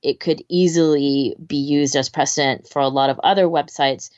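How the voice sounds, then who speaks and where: Low-voiced woman in casual podcast discussion. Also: steady tone, normal speed, a little mellow, no room sound. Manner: informal, opinionated. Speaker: podcast co-host. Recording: slightly dull, dry close audio.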